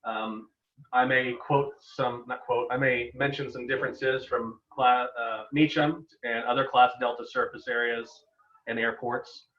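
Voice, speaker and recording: A man's voice: mid-range voice, fairly even intonation, measured pace, a bit bright. A man giving an informal webinar presentation as a guest air traffic controller, casual and given to joking asides. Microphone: online video call. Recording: echoey, distant, dull webinar microphone.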